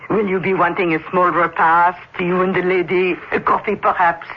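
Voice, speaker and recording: Man acting high-voiced fussy, nosy concierge. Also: flat delivery, moderate pace, close to an everyday voice. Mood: polite, nosy. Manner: fussy, formally polite servant. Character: nosy, obliging concierge of a lodging house, easily alarmed. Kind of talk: old radio drama acting. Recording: dull, noisy old radio broadcast.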